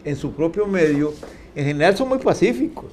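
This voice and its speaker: An older man talks in a hesitant, halting way, full of fillers and restarts. His voice is fairly high and swings widely in pitch. A faint hiss sits under the recording. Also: animated, darkish tone. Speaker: panelist. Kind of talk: casual chat.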